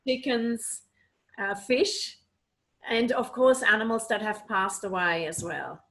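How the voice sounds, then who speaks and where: A woman's voice: medium pitch, slow, fairly bright tone. Earnest woman explaining on a video call. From Switzerland. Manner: earnest, explanatory, many "you know"s. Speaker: animal communicator, guest. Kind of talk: casual interview answers.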